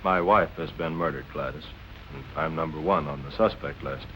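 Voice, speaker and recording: An actor playing an anxious husband suspected of murder, earnest and insistent, in 1940s film noir style. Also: male voice, a bass voice, moderate pace, fairly bright tone, slight background noise, poor audio. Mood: uneasy, subdued.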